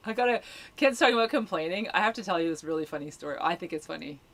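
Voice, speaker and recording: A middle-aged woman with a low voice, co-hosting a casual live-stream chat and telling stories with laughter. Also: racing. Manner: animated, laughing storyteller. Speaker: co-host and campground staff.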